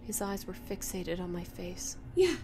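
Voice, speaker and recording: A woman reading a first-person horror story in a dramatic, emotive, breathy delivery. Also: mid-range voice, fairly even intonation, moderate pace, music in the background.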